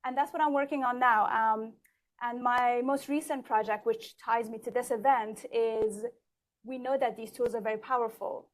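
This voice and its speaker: Woman panelist, fairly high but flat delivery, measured and steady, event stage. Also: rough recording. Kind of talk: panel introduction. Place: event stage in a large hall.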